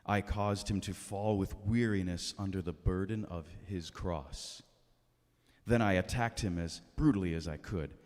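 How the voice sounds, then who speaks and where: A man's voice: low voice, darkish tone. A man playing Agony personified as a cruel, relentless, gloating spirit in a grave, dramatic church reading. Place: church sanctuary during a service. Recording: reverberant church hall.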